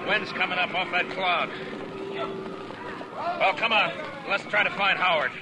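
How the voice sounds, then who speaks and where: A noisy old radio recording carries a stern frontier marshal. His voice sits a little high for a man. He gives terse commands and carries the weary weight of a western lawman. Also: steady tone, unhurried. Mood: grim, weary. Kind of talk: 1950s radio western acting.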